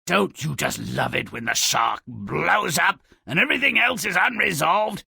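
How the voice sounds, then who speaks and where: An actor playing Grimm, a cranky, sardonic gremlin-like dwarf who narrates fairy tales mockingly in a video game, with a gravelly, raspy voice and theatrical delivery. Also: male voice, on the high side, expressive, normal speed, no echo, studio quality, exaggerated voice. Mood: dry, mocking. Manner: sneering, mock-dramatic, swooping from mutters to shouts.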